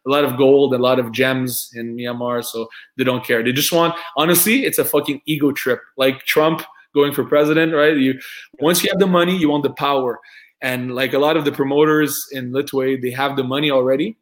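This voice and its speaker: A man's voice: mid-range voice, fairly even intonation, fairly quick. A man with a French accent talking casually, often repeating words and restarting sentences as he looks for the next word. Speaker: fight manager and promoter. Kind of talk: casual podcast chat.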